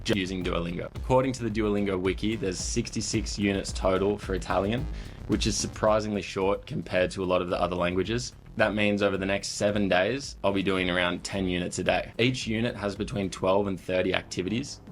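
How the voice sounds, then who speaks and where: A man's voice: low-pitched, fairly even intonation, brisk, poor audio. A man narrating his own vlog in a scripted, plain and upbeat explainer way. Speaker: video creator.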